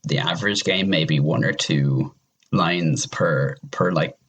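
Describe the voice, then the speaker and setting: A man chatting casually in a rambling, halting way, often repeating himself as he works out his point. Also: extremely low pitch, fairly bright tone, dull audio, slight background noise. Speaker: podcast guest. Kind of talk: casual chat.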